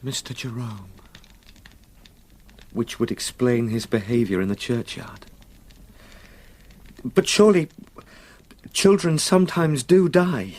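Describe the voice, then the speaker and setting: An actor playing a polite, anxious young solicitor in a radio drama, speaking earnestly with formal courtesy. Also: male voice, medium pitch, expressive, slow pace, pauses often, a little mellow. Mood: troubled, questioning.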